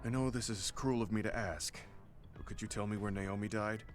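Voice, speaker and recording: A man voicing an earnest, reserved older soldier in a video game drama, speaking in a measured, sincere and formal way. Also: on the low side, fairly even intonation, natural voice. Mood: gentle, somber. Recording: loud music behind the voice. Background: background music.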